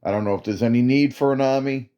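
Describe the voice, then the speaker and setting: A man's voice: medium pitch, quick pace, highs cut off, pitched above the performer's own voice, lightly acted. A man voicing a doubtful male soldier who questions and mocks his commander's orders. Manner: hesitant at first, then mocking and challenging.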